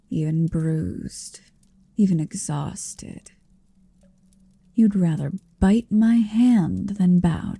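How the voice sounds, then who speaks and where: Casting: possessive, centuries-old dragoness played by a woman for ASMR roleplay. Languid, breathy half-whisper, lowish pitch, very close and dry, haughty yet doting. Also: warm tone, dry recording, exaggerated voice. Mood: smug, commanding. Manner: slow, languid, commanding, teasing. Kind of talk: ASMR roleplay, intimate monologue.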